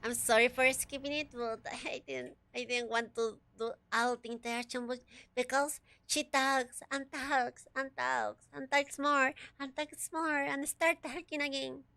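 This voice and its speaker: A woman streamer playing a game, chatting casually and giggling, with a slightly raspy voice. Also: sits high, fairly even intonation, measured pace, pushed and bright, dry recording. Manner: giggly, chatty, talks to chat. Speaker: VTuber streamer. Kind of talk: casual gaming commentary.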